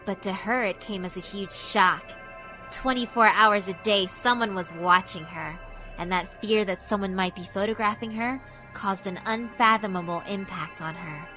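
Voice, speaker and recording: A woman's voice: mid-range voice, moderate pace, sharp tone, soft background music, lightly acted. A woman voicing a sinister, cruelly amused female school spirit in a horror visual novel, theatrical and mock-polite. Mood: cold, mocking. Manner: theatrical, mock-polite, taunting rhetorical questions.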